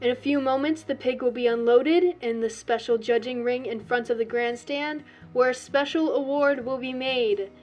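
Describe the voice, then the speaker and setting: A woman voicing a pompous, formal loudspeaker announcer at a country fair, in a storybook reading. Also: high-pitched, normal speed, hardly any pauses, dull audio, faint music behind, voice pushed up, natural voice.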